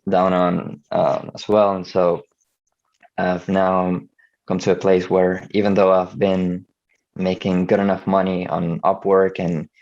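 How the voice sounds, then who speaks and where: Low, gravelly man. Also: little pitch movement, measured pace, darkish tone, muffled recording. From Venezuela. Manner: hesitant, frequent restarts and stammers. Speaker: video editor and freelancer. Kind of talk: casual video call conversation.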